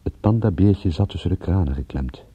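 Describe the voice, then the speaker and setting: A man playing a world-weary, tender private detective in a radio drama, speaking in a measured, intimate way. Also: low-pitched, expressive, slightly rough, dull audio. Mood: reflective. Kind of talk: radio drama acting with first-person narration.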